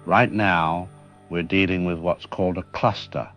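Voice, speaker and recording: A man playing a measured emergency-response official explaining things in an audio drama news interview. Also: low voice, steady tone, a bit bright. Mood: measured, explanatory. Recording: music bed under the voice.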